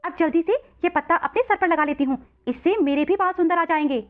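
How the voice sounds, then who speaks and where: A woman's voice: extremely high pitch, a little fast, pitched above the performer's own voice. A woman voicing a jealous, scheming, dramatic younger sister in a children's story, through a narrow phone-like recording.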